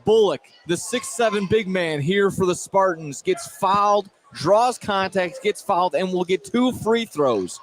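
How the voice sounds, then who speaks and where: Higher-voiced man calling basketball over gym noise. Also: expressive, a little slow, hardly any pauses. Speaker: play-by-play commentator. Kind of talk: live high school basketball commentary. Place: indoor school field house. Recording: noisy live gym broadcast. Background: loud crowd and gym noise.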